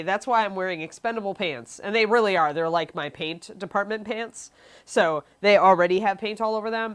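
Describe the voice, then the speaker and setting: A sniffly young woman, stuffy from allergies, giving a chatty live painting tutorial and answering chat questions. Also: mid-range voice. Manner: chatty, reads chat questions aloud, frequent ums. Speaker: miniature painting instructor.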